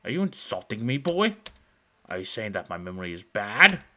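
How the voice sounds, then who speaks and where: A man voicing a gaunt, touchy old man who talks in a rough, gruff voice. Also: on the low side, very animated, bright, forceful tone, a little hiss or hum, exaggerated voice. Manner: slow, curt, easily offended.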